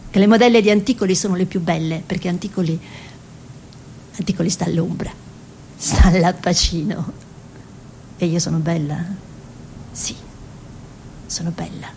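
A woman playing a dreamy, proud young peasant girl in a theatrical radio monologue, speaking in short incantatory phrases with hushed repetitions. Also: fairly even intonation, normal speed, gravelly, pauses often. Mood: playful, proud. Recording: noisy recording with low rumble.

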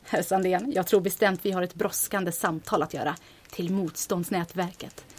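An actress playing a brisk, dry-humoured woman pilot and resistance agent in a radio play. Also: on the low side, steady tone, a little hiss or hum, close to an everyday voice. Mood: cool.

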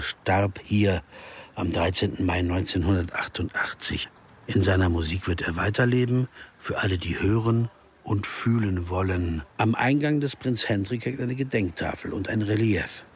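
An actor playing a wry, puzzled investigator who narrates a radio crime drama in a terse, musing way. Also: male voice, low-pitched, moderate pace, slightly rough. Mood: observant. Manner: musing, terse, asking himself questions.

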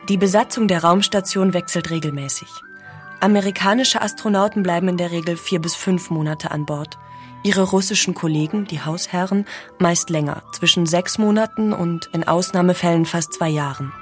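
A woman's voice: lowish voice, moderate pace, soft background music. A woman narrating a scripted documentary in a factual, composed manner.

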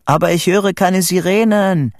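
A man's voice: high voice, very slow, no echo, studio quality, pitched above the performer's own voice, full character voice. A man voicing a curious, demanding boy who calls to his friend in terse questions and commands, as audiobook dialogue. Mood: urgent.

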